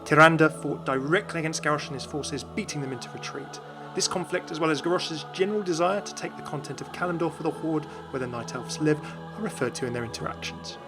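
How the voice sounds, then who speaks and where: Guy doing game lore explainer voiceover, kinda higher voice, bit of music behind. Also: fast. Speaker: video game lore narrator. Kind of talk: scripted explainer narration.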